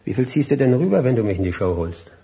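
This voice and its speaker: An actor playing a cocky, combative radical activist in a radio drama, speaking with slangy scorn and self-assurance. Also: male voice, mid-range voice, very animated, quick pace, warm tone. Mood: scornful.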